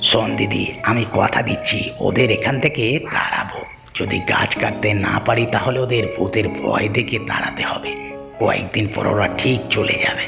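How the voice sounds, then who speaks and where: A man's voice: on the low side, fairly even intonation, normal speed, gravelly, in a large hall, over music. A man voicing a greedy, scheming village man in a Bengali cartoon. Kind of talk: Bengali moral-story cartoon dubbing.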